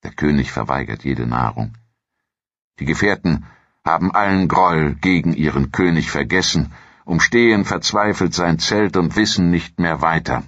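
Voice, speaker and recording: A man reading a scripted historical documentary in a measured, dramatic storytelling manner. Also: low voice, fairly bright tone, dry recording, highs cut off. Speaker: narrator.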